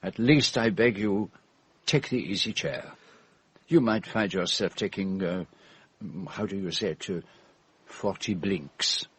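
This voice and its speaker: An actor playing a shrewd, theatrical middle-aged detective with a Belgian French accent, speaking in formal, emphatic phrases in a radio drama. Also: male voice, deep voice, a little slow, breathy edge, no echo. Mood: gently teasing. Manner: emphatic, precise, formal phrasing with rhetorical questions.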